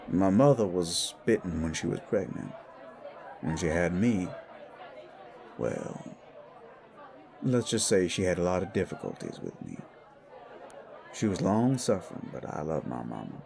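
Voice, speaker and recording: A man voicing a worldly, protective supernatural mentor in an audio drama, measured and admonishing as he speaks. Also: deep voice, sing-song, creaky, a little mellow, a faint crowd, full character voice. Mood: wistful. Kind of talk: audio roleplay dialogue.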